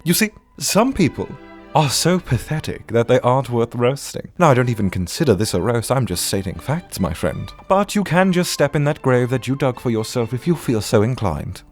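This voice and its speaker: A man's voice: mid-range voice, sing-song, moderate pace, soft background music. A man voicing a haughty, sardonic butler who condescendingly roasts submissions in a theatrical, pompous way. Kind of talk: theatrical comic roast reading.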